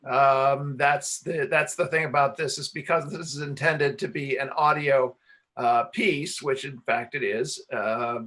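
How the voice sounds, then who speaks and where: A man's voice: mid-range voice, pushed and bright. A man answering questions as a radio play writer and director at a live Q&A, explaining things in a hesitant, rambling way. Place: talk before a live audience.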